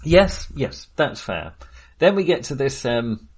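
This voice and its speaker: A man's voice: mid-range voice, big pitch swings, takes it slowly, slightly rough. A male podcast co-host talks casually about film in a mid-range voice. He meanders and hesitates as he goes, and tends to chuckle along the way.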